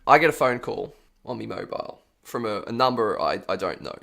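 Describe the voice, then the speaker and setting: A man's voice: fairly low pitch, lively intonation, brisk, gravelly, a bit bright. A man co-hosting a casual podcast, rambling through absurd theories and laughing often. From Australia. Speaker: podcast co-host. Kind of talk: casual chat.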